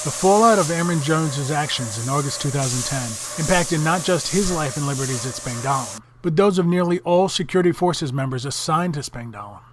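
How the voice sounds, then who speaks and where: A man reading scripted narration for a military training film in a formal, measured way. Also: fairly high pitch, expressive, noisy background. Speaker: documentary narrator.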